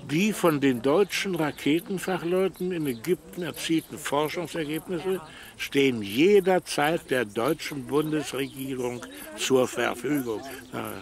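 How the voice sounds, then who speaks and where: An actor playing a self-righteous, aggrieved engineer in a naturalistic radio drama, speaking emphatically. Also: male voice, a little high, measured pace. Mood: insistent. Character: indignant, self-righteous male German engineer abroad.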